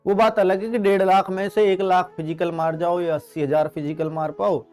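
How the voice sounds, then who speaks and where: A man's voice: high-pitched, flat delivery, moderate pace, a little mellow, clear, resonant tone, faint music behind. A man speaking as an exam coaching teacher, explaining and advising students, repeating key phrases and answering his own questions. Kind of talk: motivational exam advice to students.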